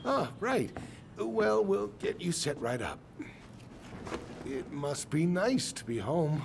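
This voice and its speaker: A polite middle-aged shopkeeper in a video game. His slightly high voice moves up and down a lot as he chats a bit awkwardly, with clear noise behind him. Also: big pitch swings, slow pace, heavily acted. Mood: friendly, chatty. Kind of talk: naturalistic video game acting.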